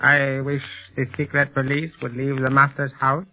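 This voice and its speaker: A man's voice: medium pitch, monotone, soft background music, close to an everyday voice. An actor playing a menacing, loyal henchman who speaks in stilted formal sentences in a 1930s radio serial. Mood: watchful. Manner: stilted, formal, measured threats. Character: cold, menacing henchman loyal to his master. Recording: muffled 1930s radio recording.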